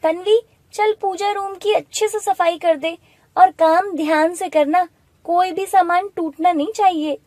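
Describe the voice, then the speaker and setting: Woman voicing a high-pitched scolding, bossy aunt. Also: fairly even intonation, a little slow, darkish tone, dry recording. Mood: stern. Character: harsh, scolding aunt, spiteful to her orphaned niece. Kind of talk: animated Hindi moral story.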